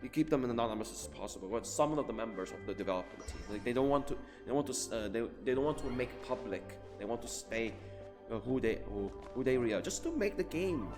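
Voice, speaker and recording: A man's voice: mid-range voice, lively intonation, fast talker. A man giving casual live game commentary as a streamer, rambling, repeating himself emphatically and swearing offhand. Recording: reverberant room, game music behind.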